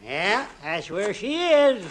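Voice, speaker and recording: An actor playing a stubborn, easily offended old American country man with a folksy, unhurried manner in 1950s radio comedy. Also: sits high, big pitch swings, fairly bright tone, full character voice. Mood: aggrieved. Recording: very noisy old radio recording.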